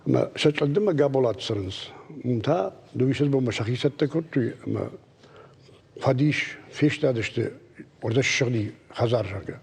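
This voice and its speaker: A man's voice: medium pitch, animated, normal speed, creaky, darkish tone, husky, big-room sound. A man being interviewed, giving a plain conversational account in short phrases. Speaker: interview guest.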